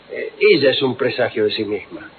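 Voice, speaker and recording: A man's voice: medium pitch, animated. Wry man on lo-fi old radio. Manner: ironic, digressive storyteller with quick asides. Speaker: radio host. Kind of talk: humorous radio talk. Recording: lo-fi phone-like archive radio with noise.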